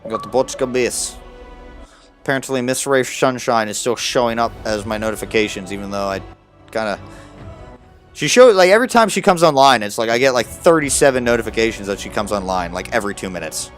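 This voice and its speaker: Young male game streamer, rambling and sarcastic, average pitch, soft music bed. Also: animated, a little fast. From United States. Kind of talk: casual gameplay commentary.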